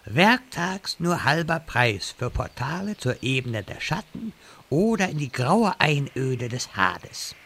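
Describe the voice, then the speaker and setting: A man's voice: a little high, sing-song, takes it slowly, gravelly, pushed and bright, exaggerated voice. An actor playing an old wizard who sells portal services, with pompous speeches and salesman patter in a comedic radio play. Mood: salesy. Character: old male wizard turned hustling locksmith, pompous.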